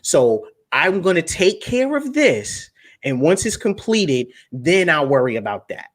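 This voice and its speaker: A man's voice: fairly high pitch, sing-song, a little slow. Young man, casual livestream talk, emphatic, preachy, repeats words. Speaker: guest speaker on finance.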